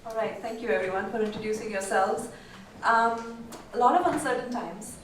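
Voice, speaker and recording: A woman's voice: fairly high pitch, steady tone, moderate pace. A woman moderating a panel discussion, speaking with many fillers and restarts. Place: large echoey lounge. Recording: echoey room from far off, noisy.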